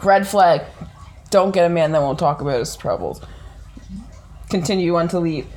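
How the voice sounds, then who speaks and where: Raspy coughing woman, blunt and profane. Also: fairly low pitch, normal speed, darkish tone. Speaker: podcast co-host. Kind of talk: casual chat.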